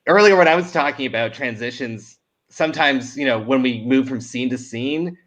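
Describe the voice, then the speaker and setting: A man speaks as a guest in a relaxed discussion of creative work. His phrasing is informal and conversational, scattered with "like" and "you know", and his pitch sits in an ordinary middle range for a man. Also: moderate pace, rough recording. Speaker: audio drama creator, panel guest. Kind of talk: casual discussion of craft.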